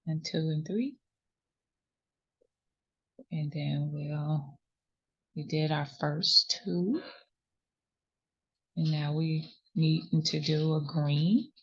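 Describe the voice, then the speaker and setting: Slow, low-voiced woman teaching crochet. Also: fairly even intonation, slightly rough, dull audio. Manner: patient, reassuring, counts stitches aloud. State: sniffly runny nose. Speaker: crochet tutorial host. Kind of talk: step-by-step crafting instruction.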